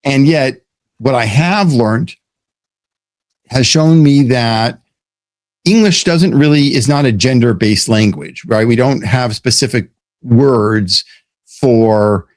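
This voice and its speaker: A man's voice: medium pitch, slow pace, no echo. A man from the United States, a guest in an interview, explaining ideas thoughtfully and often restarting his sentences. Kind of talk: casual interview talk.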